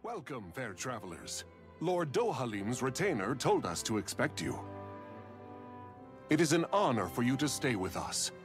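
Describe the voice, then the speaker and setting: An actor playing a humble, welcoming innkeeper in a fantasy video game, speaking formally and courteously. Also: male voice, medium pitch, sing-song, normal speed, lightly acted. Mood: welcoming, deferential. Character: deferential, welcoming male innkeeper in a fantasy land. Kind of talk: video game cutscene acting. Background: music.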